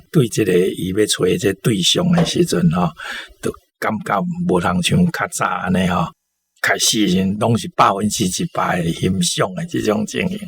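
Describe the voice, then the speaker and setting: A man's voice: low voice, gravelly, fairly bright tone. A man with a Taiwanese accent giving informal, reflective commentary as a guest. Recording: faint noise and room ambience.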